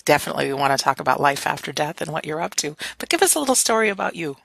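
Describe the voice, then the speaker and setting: A woman's voice: low-pitched, sing-song, rapid-fire, creaky, fairly bright tone, no echo. A woman hosting a radio interview, enthusiastic and full of praise for her guest. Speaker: radio show host.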